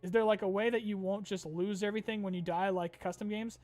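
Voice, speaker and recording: High-voiced man chatting casually over music. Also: steady tone, quick pace, darkish tone. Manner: reads viewer questions aloud then answers casually with fillers. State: tired, voice getting strained. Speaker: streamer answering chat questions. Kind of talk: casual chat.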